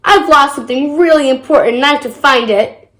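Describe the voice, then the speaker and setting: A woman's voice: high voice, a little fast, a bit bright, roomy sound. A female reader voicing a blunt, cross young girl in a picture book read aloud. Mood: dismissive. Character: cross, blunt young girl who lost her dog.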